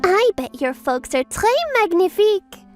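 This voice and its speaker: A woman's voice: extremely high pitch, expressive, a little slow, soft background music. A woman playing a bubbly, money-obsessed young schoolteacher with a gushing manner in a manga dub. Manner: gushing, peppers talk with French phrases.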